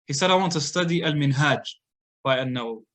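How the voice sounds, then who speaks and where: A man's voice: on the high side, fast talker, a bit bright. A man giving a mosque lecture, telling a scholar's story conversationally with frequent fillers and restarts. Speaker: Islamic lecturer. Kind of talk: casual lecture.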